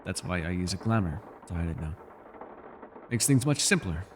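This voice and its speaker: A man playing an ancient, wistful male elf in an intimate ASMR roleplay, telling things in a measured, gently teasing way. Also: deep voice, lively intonation, noisy background. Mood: somber, confiding. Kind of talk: ASMR character roleplay, intimate scripted monologue.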